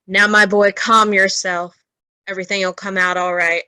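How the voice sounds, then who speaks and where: Bright, forceful worried father in a radio play. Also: mid-range voice, little pitch movement, moderate pace, clear, resonant tone, no echo. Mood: soothing. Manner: placating, anxious, hesitant. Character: worried older father soothing a young man. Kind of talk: old-time radio drama acting.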